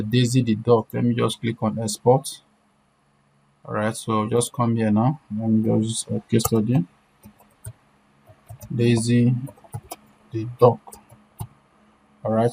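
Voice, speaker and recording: A woman presenting an informal screen-share software tutorial, repeating herself and often checking that listeners are following. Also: a bass voice, a little hiss or hum, low-quality recording.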